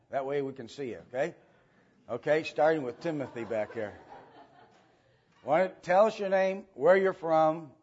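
A man teaching an informal Bible lesson to a church group, with halting delivery and frequent pauses to clear his throat. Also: medium pitch, darkish tone. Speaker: church Sunday school teacher. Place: church room with a group present. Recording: dull, lo-fi church recording.

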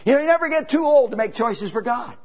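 A man's voice: very high-pitched, expressive, a little mellow. A man in his seventies preaching a sermon as a traveling evangelist, folksy and repetitive, building to emphatic bursts. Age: 70s. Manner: preaching with rising emphatic bursts and drawn-out pauses; folksy, repetitive, peppered with "you know" and "amen".

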